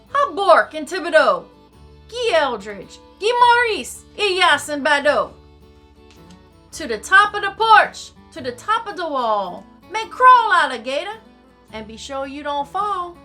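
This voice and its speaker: Woman doing a hollering, high-pitched Cajun Saint Nick. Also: sing-song, takes it slowly, faint music behind, heavily acted. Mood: hollering commands. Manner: loud, exclaiming, hollering in dialect. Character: jolly, blustery little old Cajun Saint Nick. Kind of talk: children's storybook character.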